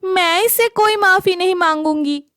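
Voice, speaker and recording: Woman doing a very high, taunting cartoon girl. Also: normal speed, fairly bright tone, dry recording, clean studio sound. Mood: scornful. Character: mean, taunting village girls. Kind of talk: Hindi animated moral story acting.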